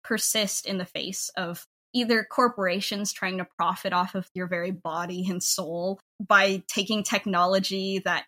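A woman's voice: mid-range voice, sharp tone. A woman with an American accent speaking casually and earnestly as an interview guest, with an effusive, reflective way of talking. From United States. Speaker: interview guest, audio drama creator.

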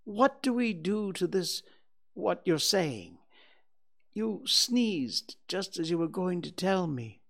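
A storybook reader voicing a humble, slow-witted, dreamy toy bear with a hesitant, wistful manner. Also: mid-range voice, very animated, gravelly, fairly bright tone, pitched above the performer's own voice, heavily acted. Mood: earnest.

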